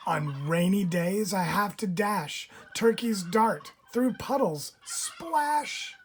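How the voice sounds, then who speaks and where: A woman reading a rhyming children's picture book aloud with measured, expressive phrasing for young listeners. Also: mid-range voice. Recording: clear background noise, bird calls. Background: turkeys gobbling and clicks.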